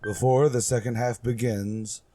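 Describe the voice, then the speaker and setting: A man's voice: medium pitch, fairly even intonation, a little slow, mellow, soft background music. A man hosting and narrating a radio drama podcast with scripted delivery.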